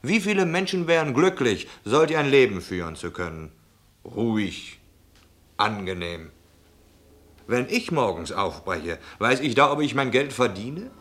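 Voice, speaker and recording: A man's voice: medium pitch, lively intonation, a little slow, a bit bright. An actor playing a reasonable working man who longs for security, musing and arguing in a theatrical radio play. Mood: musing, envious.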